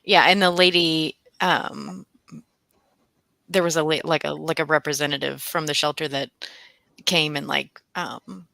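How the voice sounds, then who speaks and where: Casting: middle-aged woman, bright but crackly voice, halting conversational storyteller. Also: low voice, fairly even intonation, vocal fry, no room sound. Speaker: co-host of a book readalong livestream. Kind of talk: casual chat, personal storytelling.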